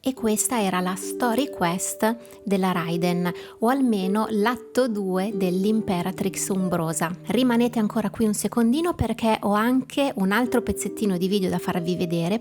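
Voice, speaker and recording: A woman's voice: medium pitch, normal speed, hardly any pauses. An Italian woman hosting a gameplay channel, talking casually and informally to her viewers. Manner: chatty, informal. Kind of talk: casual video outro to viewers. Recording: voice over prominent background music.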